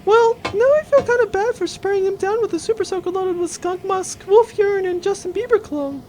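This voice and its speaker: A woman playing an excitable, mischievous crew member in a comedic space audio drama. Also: very high voice, a little fast, slight background noise. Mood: gleeful, mildly guilty.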